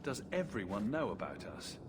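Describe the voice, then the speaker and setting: An actor playing a calm, level-headed young adventurer in a video game, composed and direct. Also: male voice, fairly low pitch, normal speed. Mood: composed, inquiring. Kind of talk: video game cutscene acting. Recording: clear background ambience noise.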